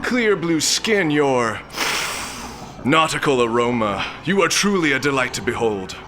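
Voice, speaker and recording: A man's voice: mid-range voice, very animated, unhurried, over music. A man playing a hard-drinking, lecherous space lord in a comic sci-fi audio drama, pompous, florid and blustering. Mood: lecherous, wooing.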